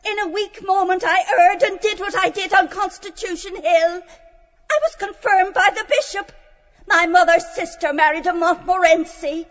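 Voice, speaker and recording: A woman's voice: very high voice, normal speed, fairly bright tone. An actor playing a contrite fallen young woman with genteel pretensions, confessing in an audiobook drama. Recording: muffled, long hall reverb.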